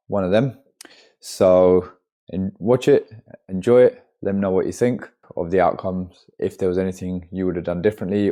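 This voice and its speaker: Man, gas engineer, slightly low voice, congested with a cold, casual vlog into a handheld phone. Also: warm tone. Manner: chatty, explains work step by step. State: stuffy nose from a cold. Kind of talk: casual work vlog to camera. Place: customer's house.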